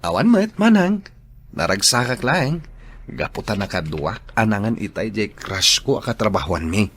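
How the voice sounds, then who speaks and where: A man's voice: lowish voice, big pitch swings, moderate pace, fairly bright tone, slightly rough, heavily acted. A man playing a shy young office worker. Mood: earnest. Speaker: office worker Ronnie in radio drama. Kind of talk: Ilocano radio drama acting.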